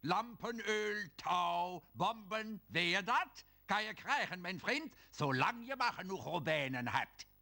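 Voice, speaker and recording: An actor voicing a cartoon shopkeeper with a German accent in an exaggerated video game dub. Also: male voice, high voice, animated, slow, hardly any pauses, pushed and bright, full character voice. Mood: hawking. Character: cartoon male shopkeeper, salesman with Bavarian-style character.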